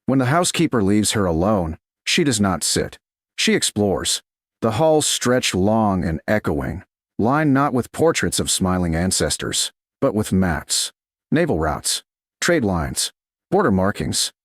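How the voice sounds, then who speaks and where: Deep-voiced man narrating a romance story. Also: very animated, dry recording, clean studio sound. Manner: measured, dramatic phrasing.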